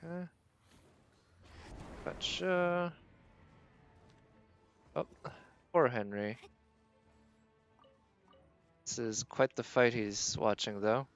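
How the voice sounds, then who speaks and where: A man's voice: medium pitch, expressive, very slow, pauses often, smooth, lo-fi sound, faint music behind. Casual male game streamer thinking aloud. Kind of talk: casual live gameplay commentary.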